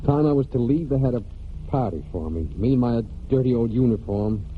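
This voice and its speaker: An actor in a 1940s American radio drama playing a brooding young farm man and war veteran, speaking plainly and haltingly in rustic phrases. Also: mid-range voice, a little hiss or hum, close to an everyday voice. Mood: wistful. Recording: muffled 1940s radio broadcast with music.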